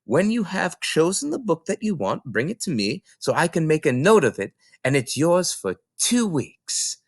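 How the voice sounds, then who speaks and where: A kindly woman librarian, played by a man, talks in a lightly raised, varied pitch. Her manner is gentle, concerned and encouraging, as in a children's storybook. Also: big pitch swings, moderate pace, dry recording, studio quality. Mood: helpful.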